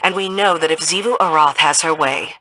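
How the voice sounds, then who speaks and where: A woman playing a loyal, blunt military lieutenant in a video game, crisp and commanding. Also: low-pitched, a little fast, sharp tone, dry recording, low-quality recording. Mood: resolute. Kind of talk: scripted video game acting.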